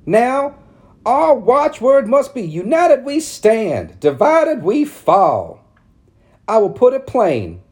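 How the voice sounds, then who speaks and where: A high, shrill middle-aged townswoman, put on by a man in falsetto, lecturing and scolding like a pushy busybody. Her voice swoops up and down, warm underneath the strain. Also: exaggerated voice.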